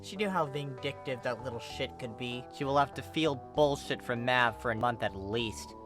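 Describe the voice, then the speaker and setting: A person reading a story aloud as an expressive narrator, doing scripted prose and character dialogue. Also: medium pitch, normal speed. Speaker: fan fiction reader. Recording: music behind the voice. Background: music.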